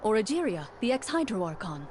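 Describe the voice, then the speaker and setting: A woman voicing a composed, courteous young swordswoman in an anime game, speaking in a measured, formal and articulate way as a game master. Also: medium pitch, very animated, background noise, close to an everyday voice. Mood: measured, serious. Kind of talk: anime video game voice acting.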